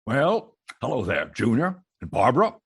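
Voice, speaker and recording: An actor playing a genteel, courteous boss in an old-time radio comedy, speaking politely and a little hesitantly. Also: male voice, mid-range voice, wildly swooping pitch, moderate pace, creaky, breathy edge, heavily acted.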